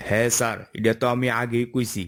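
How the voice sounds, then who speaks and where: A man's voice: mid-range voice, level tone, fast, fairly bright tone, natural voice. A man voicing a boastful, needy job seeker in a comic cartoon, ingratiating, with an eastern Bengali dialect.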